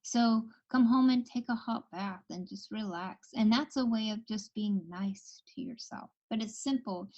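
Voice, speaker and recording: A woman hosting a call-in tarot show, giving reassuring advice in a relaxed, chatty way. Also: a little high, unhurried, few pauses, lo-fi sound. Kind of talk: casual advice chat.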